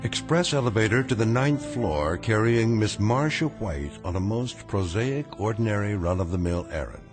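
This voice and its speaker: Radio drama casting: man as ominous narrator, lowish voice, highly varied intonation, wry and theatrical. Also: lively intonation, normal speed, hardly any pauses, music in the background, natural voice. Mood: wry, foreboding. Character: wry, ominous male storyteller of uncanny tales.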